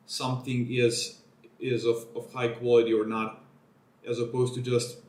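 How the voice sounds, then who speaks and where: A man talks through a technical paper live, thinking aloud and correcting himself as he goes. His voice sits at an ordinary middle pitch. The room around him gives a noticeable echo. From Serbia. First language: Serbian. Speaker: streamer reading a research paper. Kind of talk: live-streamed paper walkthrough.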